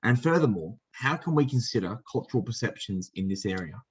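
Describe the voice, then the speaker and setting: Male webinar moderator, quick talker, husky, dull lo-fi audio, polite. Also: fairly low pitch, lively intonation. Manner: brisk, polite, apologetic. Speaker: session moderator.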